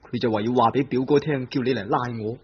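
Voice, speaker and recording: A man's voice: mid-range voice, quick pace, husky. A man playing a sly young killer, dull old radio tape. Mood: confessing. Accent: Cantonese. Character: young man confessing to killing his cousin's wife. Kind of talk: vintage radio drama acting.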